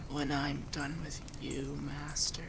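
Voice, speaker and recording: A man playing an affectionate, teasing femboy monster companion in a soft-spoken ASMR roleplay, with an intimate, breathy, half-whispered delivery. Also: on the high side, monotone, takes it slowly, background noise. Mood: flirty teasing.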